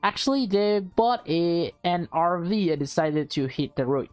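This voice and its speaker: A woman's voice: fairly low pitch, measured pace, darkish tone, no echo, soft background music, lightly acted. A woman voicing a courteous, eager female real estate agent in a visual novel, with polite sales patter. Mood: patient explaining.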